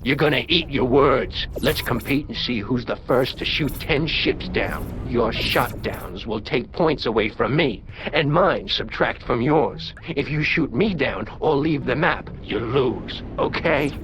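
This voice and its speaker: A man plays a boastful robot rival in a video game. His voice is mid-pitched and combative, with clear ambient noise behind it. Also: unhurried, few pauses, natural voice. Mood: challenging. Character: proud, competitive male robot rival, easily provoked. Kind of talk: video game character acting.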